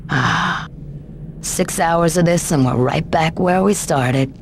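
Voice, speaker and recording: A woman's voice: deep voice, slow, vocal fry, a little hiss or hum, exaggerated voice. An actress playing a weary, sceptical policewoman in a video game, pointed, challenging and incredulous.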